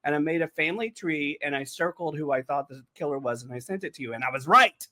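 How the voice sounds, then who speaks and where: Male panelist, slightly high voice, casual, animated storyteller. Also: fast talker. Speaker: panelist on a behind-the-scenes show. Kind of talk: casual chat.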